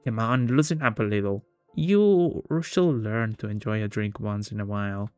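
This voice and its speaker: A man's voice: on the low side, moderate pace, clean tone, dry recording, soft background music, lightly acted. A man voicing an easygoing, friendly male feline bar customer in a visual novel, casual and coaxing. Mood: coaxing, relaxed.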